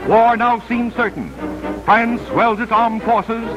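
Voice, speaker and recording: High-pitched dramatic 1930s newsreel man. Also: slow, muffled recording. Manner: dramatic, solemn declamation. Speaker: newsreel narrator. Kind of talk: 1930s newsreel narration, scripted. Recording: vintage 1930s newsreel soundtrack. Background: newsreel music.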